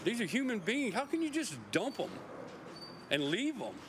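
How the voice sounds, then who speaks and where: A middle-aged man speaks outdoors in a news interview, emphatic and outraged. His voice runs high and animated. Faint urban sounds drift behind him. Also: moderate pace, background noise. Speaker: shelter worker. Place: outdoors by a brick building.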